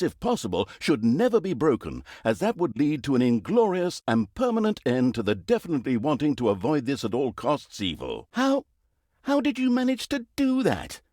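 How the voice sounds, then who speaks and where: A man's voice: a little high, a little mellow, no room sound, studio quality. A man playing a sardonic, mock-grand storybook narrator in a video game, telling of an evil overlord's deeds with theatrical flair. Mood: patronising, then exasperated.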